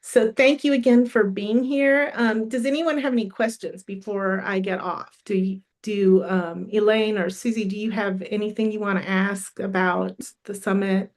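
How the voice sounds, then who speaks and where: A woman's voice: medium pitch, steady tone, normal speed, hardly any pauses. A middle-aged woman with a cold, losing her voice, hosts a live online summit in a friendly, encouraging way with many ums and restarts. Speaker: summit host. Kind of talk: live stream announcements.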